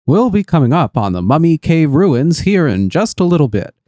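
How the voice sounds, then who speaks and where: A man playing a tour guide in an audio story, explaining the sights in a measured way. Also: on the high side, big pitch swings, dark tone, no echo, clean studio sound. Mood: informative. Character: informative male park tour guide narrating a walk.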